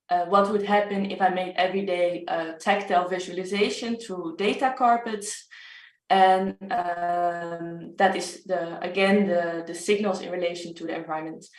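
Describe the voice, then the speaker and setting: A woman presenting her art project on a video call, hesitant and full of fillers. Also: on the low side. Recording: echoey, distant video call audio.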